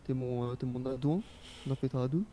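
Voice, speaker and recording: Man playing a very dark-toned young rap boss. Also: medium pitch, a little fast, slight background noise, natural voice. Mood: enthusiastic. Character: young male Japanese-born rap label boss, easygoing and proud. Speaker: role-player. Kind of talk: casual in-character game chat.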